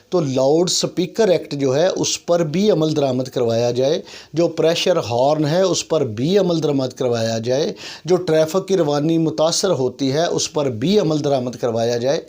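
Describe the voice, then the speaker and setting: A man's voice: fairly high pitch, darkish tone. A man with a Pakistani accent delivering an earnest, complaining opinion monologue, emphatic and full of rhetorical repetition. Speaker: YouTube commentator. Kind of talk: opinion monologue on civic issues.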